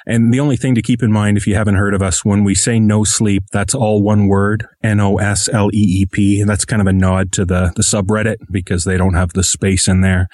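Male podcaster as interview guest: low-pitched, conversational, modest, recorded dry. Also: level tone, fairly quick, hardly any pauses, a little mellow, dry recording. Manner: modest, self-deprecating.

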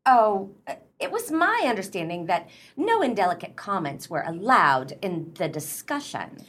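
A woman's voice: mid-range voice, big pitch swings, moderate pace, a bit bright. A woman acting a prim, pedantic and easily scandalized book club lady in a radio play, proper and slightly hesitant. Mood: prim disapproval.

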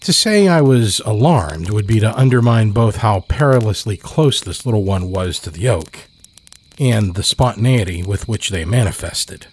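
A man narrating a scripted horror story dramatically, with deliberate, suspenseful phrasing. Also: lowish voice, expressive, slight background noise. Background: crickets and insect ambience.

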